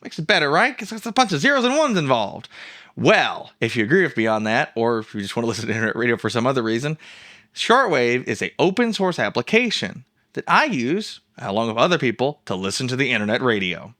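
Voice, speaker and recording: A man hosting an informal, jokey, rambling software walkthrough in a casual screencast style. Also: mid-range voice, very animated, fast. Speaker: host of a software review show.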